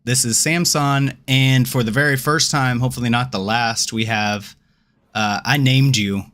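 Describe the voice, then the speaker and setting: A man in his forties hosting a casual chat show, relaxed and sprinkling in "uh" fillers. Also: mid-range voice, unhurried. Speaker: podcast host.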